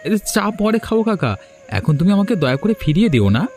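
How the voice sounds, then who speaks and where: Outspoken village vendor played by a man, lively intonation, earnest and determined, moral-story cartoon dub. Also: sits high, fairly quick, faint music behind. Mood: eager. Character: hard-up, determined, outspoken village snack seller.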